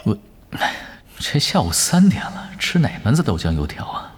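A man's voice: on the low side, very animated, moderate pace, slight background noise. An actor playing a nonchalant, teasing young male gamer in an audio drama, casual and sly. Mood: sleepy, grumbling. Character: laid-back, cheeky young male gamer, sly and unbothered. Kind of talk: Chinese audio drama acting.

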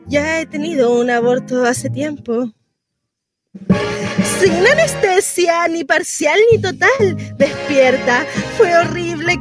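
A woman acting a theatrical monologue as a nervous, indignant pregnant woman in a bitterly ironic, conversational one-sided dialogue. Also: extremely high pitch. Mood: nervous, polite, then pained, bitter.

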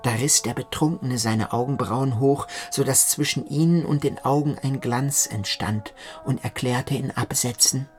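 An actor playing an earnest, reflective storyteller with a formal, contemplative manner in a literary radio play. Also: male voice, medium pitch, unhurried, hardly any pauses, faint music behind, natural voice.

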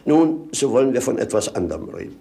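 An actor playing a tipsy, lazy rogue with clownish mock pomposity, in 1950s German radio drama style. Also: male voice, medium pitch, big pitch swings, fast, creaky, warm tone. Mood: tipsy, sly. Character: tipsy, lazy male rogue, clownish self-satisfied idler. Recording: 1950s radio play recording.

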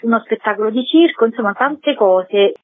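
A woman curating a festival, talking on the phone to a radio show and calmly describing the programme. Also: on the high side, no room sound. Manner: measured, informative. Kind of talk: radio interview over the phone. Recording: tinny phone line.

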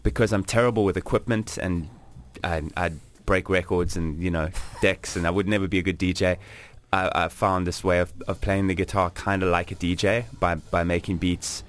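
A man's voice: deep voice, fairly even intonation, normal speed, few pauses. A young man from South Africa, a guest musician chatting in a radio interview, hesitant with many fillers and restarts.

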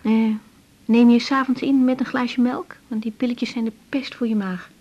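Young dutch woman doctor, caring, bit hesitant, highish breathy voice, radio drama. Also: steady tone, normal speed, lo-fi sound. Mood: friendly, practical. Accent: Dutch, from the Netherlands. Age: young adult. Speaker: actor playing a doctor. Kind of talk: naturalistic radio drama dialogue.

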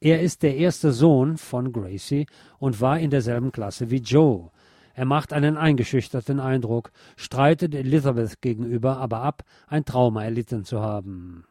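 A man's voice: mid-range voice, normal speed, mellow, no echo, studio quality. A man narrating a film review as the host.

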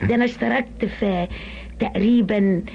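A woman's voice: medium pitch, brisk, vocal fry, slight background noise. An older woman with an Egyptian accent, a stage and film actress, chatting and joking in a radio interview. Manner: lively, joking, earthy colloquial talk. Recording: dull old archive radio recording.

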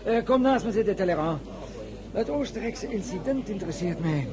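A man's voice: sits high, expressive, a little fast, heavy background noise. An actor playing a pompous, declamatory male head of government in a radio drama. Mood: suave, probing. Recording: murmur of a salon crowd behind.

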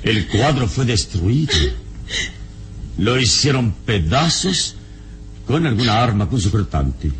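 An actor playing a calm, commanding heroic adventurer in a radio serial, with measured, authoritative delivery. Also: male voice, mid-range voice, music in the background. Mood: grave. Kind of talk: radionovela acting.

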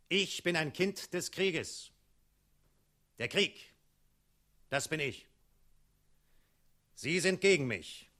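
A male actor playing a cold, imperious dragon in a gentleman's shape, formal and clipped, in a 1960s radio play. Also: on the high side. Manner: formal, clipped, menacing courtesy.

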